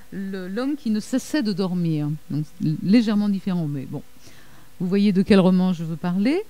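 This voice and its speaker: A woman delivers an academic talk in a dark, mellow voice. She speaks in a measured way, stopping on fillers and correcting herself now and then. The sound is dry and close, with little room around it. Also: medium pitch, no echo. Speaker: lecturer. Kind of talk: academic lecture reading quotations.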